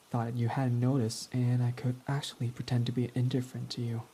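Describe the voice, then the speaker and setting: A guarded, foul-mouthed villain who is secretly devoted, as a young man plays him for an ASMR roleplay. His voice sits warm and mellow and close to the microphone, talking in an intimate, confessional way. A soft patter of rain runs faintly behind him. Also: mid-range voice, a little hiss or hum, lightly acted, poor audio. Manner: intimate and confessional, swearing freely. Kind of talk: ASMR roleplay audio drama.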